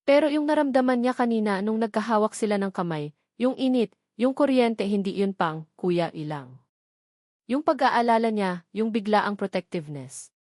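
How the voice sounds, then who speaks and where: A woman narrating a scripted romance story in a steady storytelling manner, slipping English phrases into her narration. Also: medium pitch, normal speed, no echo, studio quality.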